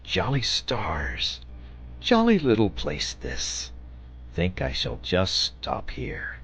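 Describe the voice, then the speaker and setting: A man's voice: low-pitched, wildly swooping pitch, slow, fairly bright tone, husky, heavily acted. A man voicing a big, genial, sleepy dragon in a storybook, murmuring in drowsy, clipped phrases. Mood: drowsy, contented. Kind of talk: storybook character voice. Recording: dull, lo-fi audio.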